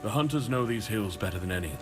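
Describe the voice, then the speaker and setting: A man acting as a weary, dignified leader in a fantasy video game. His voice is low and rings in a long reverb, with music underneath. He speaks solemnly and formally, weighing his words. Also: animated, quick pace, natural voice. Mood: earnest, authoritative. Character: grave, burdened male leader, diplomatic and weary.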